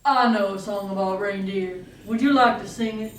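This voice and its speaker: A woman's voice: mid-range voice, normal speed, lightly acted. A woman voicing a friendly, encouraging reindeer puppet in a children's show, recorded in an echoey room. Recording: echoey room, distant mic, faint noise.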